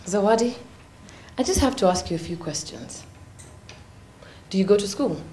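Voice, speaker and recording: A woman playing a composed, probing defence lawyer in a TV courtroom drama, with a Kenyan accent and measured, formal questioning. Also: medium pitch, animated, warm tone, natural voice. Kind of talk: TV courtroom drama cross-examination. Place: indoor courtroom set. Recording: roomy echo with faint noise.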